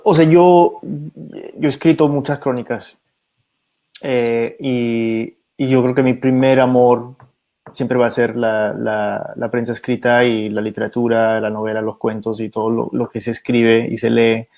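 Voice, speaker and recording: Middle-aged man, radio producer, reflective and halting, mid-pitched. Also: little pitch movement, darkish tone. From Peru, raised abroad. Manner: thoughtful, many fillers and restarts. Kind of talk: reflective conversation.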